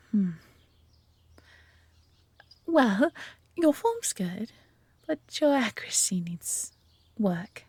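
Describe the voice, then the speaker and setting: A woman's voice: medium pitch, warm tone, slightly rough, no echo, exaggerated voice. A woman with an English accent playing a friendly, adventurous elf archer in a roleplay audio drama, talking conversationally to the listener. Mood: patient, instructive.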